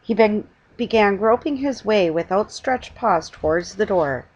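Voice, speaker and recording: A middle-aged woman reads a children's storybook aloud with a husky voice, stumbling now and then and correcting herself. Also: mid-range voice, animated, darkish tone. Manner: halting reading with stumbles and self-corrections. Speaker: reader. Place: small living room at home, indoors. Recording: dull lo-fi webcam sound.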